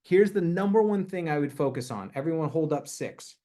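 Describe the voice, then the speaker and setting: Pumped-up guy on a video call, kinda high voice, keeps repeating the key point. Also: lively intonation, fairly quick, warm tone. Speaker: company leader on a team call. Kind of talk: motivational team call talk.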